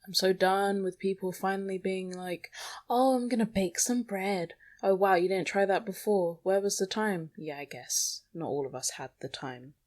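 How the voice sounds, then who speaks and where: A woman's voice: mid-range voice, steady tone, moderate pace, a little mellow. A young woman podcasting alone in a rambling, confessional monologue, anxious and self-deprecating. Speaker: podcast host. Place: small bedroom at home. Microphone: small microphone.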